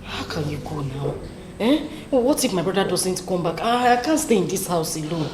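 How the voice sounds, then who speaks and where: A woman's voice: lowish voice, expressive, normal speed, a little mellow, roomy sound, slight background noise, close to an everyday voice. An actress with a Nigerian accent playing a worried young woman who stammers and pleads while waiting for her brother. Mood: anxious.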